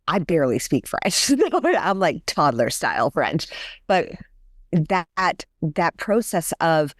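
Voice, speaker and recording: Podcast host woman, deep-ish voice, enthusiastic and rambly. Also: smooth, dry recording, clean studio sound. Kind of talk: casual interview chat.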